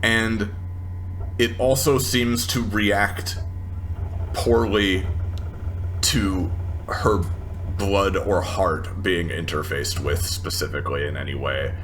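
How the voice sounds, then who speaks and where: A man with a low voice narrates a roleplay scene at a slow pace. He feels his way through halting phrases, and a noisy hum sits behind him. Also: fairly bright tone. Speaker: tabletop roleplay player. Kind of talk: improvised roleplay narration and dialogue.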